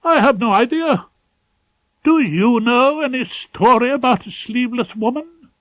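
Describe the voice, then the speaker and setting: A man's voice: very high-pitched, expressive, a little slow, a bit bright, no echo, pitched above the performer's own voice, exaggerated voice, rough recording. A man voicing a pompous, prim, scandalised middle-aged male head barrister in an audiobook, with formal and hesitant phrasing. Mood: prim, grave. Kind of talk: audiobook character voice.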